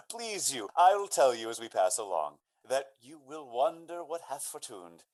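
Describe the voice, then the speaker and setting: An actor playing a bold, earnest young gentleman in a Shakespearean radio drama, declaiming in a theatrical, commanding way. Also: medium pitch, very animated, measured pace. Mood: merry, triumphant. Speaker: amateur stage actor.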